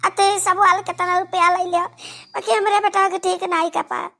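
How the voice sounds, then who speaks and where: Squeaky, creaky, melodramatic cartoon village woman. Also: flat delivery, moderate pace, bright, forceful tone, heavily acted. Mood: distressed pleading. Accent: Awadhi. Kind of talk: exaggerated cartoon comedy dialogue.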